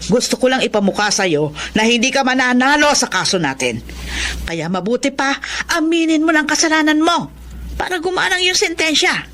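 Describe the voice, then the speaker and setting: A bitter mother in a radio drama, scornful and accusing. Her voice sits fairly high and swings sharply as she attacks. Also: expressive, moderate pace, fairly bright tone. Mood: cold, scornful. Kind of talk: Tagalog radio drama acting.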